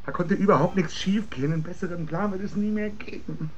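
A man's voice: sits high, quick pace, muffled recording, slight background noise, close to an everyday voice. An actor playing an irritable, pressing husband who schemes against his wife, in a naturalistic German radio drama. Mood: frustrated, tense.

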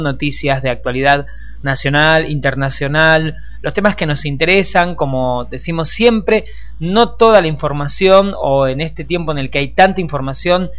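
Argentine male radio host, higher-pitched voice. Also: fairly bright tone, clear, resonant tone. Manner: discursive, addresses listeners directly. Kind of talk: conversational radio presenting.

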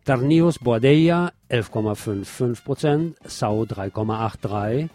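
Mellow-voiced man reading the news. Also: medium pitch, animated, a little slow, faint music behind. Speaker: radio news presenter. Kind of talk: scripted news reading with some pointed commentary.

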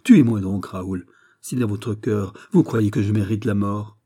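A man's voice: lowish voice, measured pace, mellow, husky. A man voicing an anguished, guilt-ridden young woman in love, imploring and impassioned in a dramatic storybook reading. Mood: fervent pleading. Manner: impassioned, imploring, breathless exclamations.

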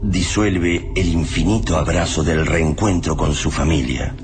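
A low-voiced Argentine man the grave narrator of an apocalyptic radio drama. He reads in measured, literary phrases, with clear ambient noise behind him. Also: fairly even intonation. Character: grave omniscient male narrator of an apocalyptic tale. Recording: clearly noisy background ambience.

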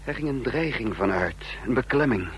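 An actor playing a haunted, confused man caught in espionage, narrating in brooding, halting fragments in a radio drama. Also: medium pitch, expressive, lightly acted. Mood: brooding unease. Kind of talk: Dutch radio drama acting, introspective narration. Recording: noisy old radio play recording.

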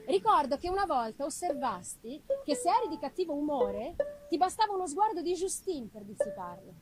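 Woman reading as a high-voiced, tender young gentlewoman. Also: poor audio. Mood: tender, sorrowful. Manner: expressive, unhurried dramatic delivery with pauses. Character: young affectionate gentlewoman writing an anxious, tender letter. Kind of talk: theatrical literary reading. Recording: live music behind the voice.

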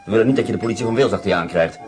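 An actor playing a stern, scheming SS captain who gives curt commands in a radio play. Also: male voice, fairly low pitch, very fast, darkish tone, soft background music, close to an everyday voice. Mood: commanding. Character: stern, scheming middle-aged male SS captain. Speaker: German prisoner-of-war officer. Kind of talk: radio drama acting.

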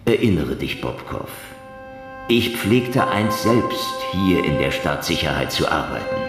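An actor in a German radio drama playing a sardonic, taunting former Russian security man with a theatrical, mocking manner and teasing pauses. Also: low voice, sing-song, echoey room, over loud music. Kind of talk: German audio drama acting.

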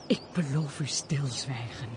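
A woman acting a lively, compassionate countess in a Dutch radio play, with formal, expressive, theatrical speech. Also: a bass voice, unhurried. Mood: shocked, compassionate. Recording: noisy old radio play recording.

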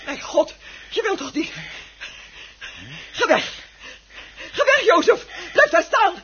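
An actress playing a frightened wife in a radio drama, calling out shrill, urgent short commands to her husband. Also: sing-song, takes it slowly, pushed and bright, heavily acted. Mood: terrified pleading. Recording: dull, noisy old radio recording.

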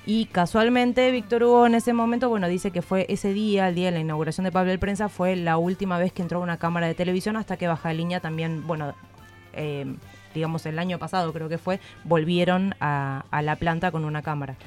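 A woman's voice: on the low side, steady tone, fairly quick, darkish tone, faint music behind. A woman with an Argentine Spanish accent hosting a radio talk show, giving political commentary in conversation. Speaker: radio host.

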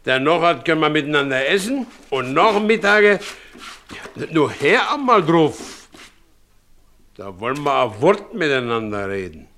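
A man's voice: on the high side, fairly bright tone. An actor playing a plain, conciliatory working countryman in a radio play, colloquial and. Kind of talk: German radio play acting.

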